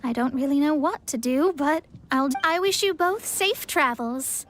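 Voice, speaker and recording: A woman's voice: high-pitched, moderate pace, close to an everyday voice. A woman voicing a proud, easily flustered young female astrologer, dramatic and haughty, in an anime-style video game. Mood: polite, awkward. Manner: dramatic, haughty, hesitant when embarrassed. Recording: soft music behind the voice.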